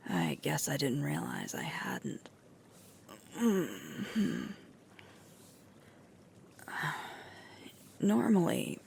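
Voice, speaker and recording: A sweet, affectionate werewolf girlfriend acted by a woman in an intimate ASMR roleplay. Her voice is breathy and often drops to a whisper, with a very gravelly creak. The pitch roams widely as she talks in a tired, tender way. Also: heavily acted. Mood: gentle, explaining.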